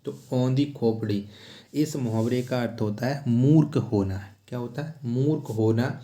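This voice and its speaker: A man's voice: mid-range voice, dark tone. A man teaching exam questions step by step, repeating himself and asking rhetorical questions. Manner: repetitive rhetorical questioning, announcing each question and answer. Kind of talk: exam question-and-answer lesson. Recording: echoey room sound.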